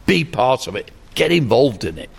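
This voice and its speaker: A man's voice: mid-range voice, sing-song, normal speed, gravelly, breathy edge. A man, a member of parliament, talking earnestly in his office, repeating phrases for emphasis. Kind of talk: earnest on-location conversation.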